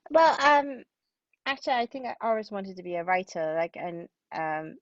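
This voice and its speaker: A woman from Hong Kong speaking as an interview guest, thoughtfully, feeling her way through halting, repeated phrases. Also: mid-range voice, lively intonation, dry recording, lo-fi sound. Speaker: guest, playwright and historian. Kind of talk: thoughtful podcast interview conversation.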